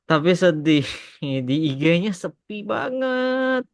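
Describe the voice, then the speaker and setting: A man's voice: sits high, big pitch swings, takes it slowly, gravelly, smooth. A man hosting a casual podcast chat, mixing English phrases into his talk. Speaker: podcast host and animation creator answering fan questions.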